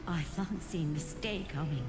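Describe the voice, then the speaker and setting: Calm, mournful seer in long reverb. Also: female voice, sits low, unhurried. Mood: resigned sorrow. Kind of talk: scripted fantasy game dialogue. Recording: long hall reverb, music underneath.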